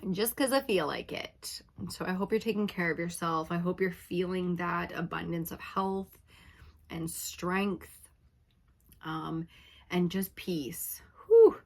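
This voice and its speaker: A woman reading tarot for her viewers in a casual, chatty way, her voice slightly raspy from hours of talking, often checking in with "okay?". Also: on the low side, fairly even intonation, takes it slowly, a little mellow. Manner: chatty, laughing, uses filler like "okay?". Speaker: tarot reader.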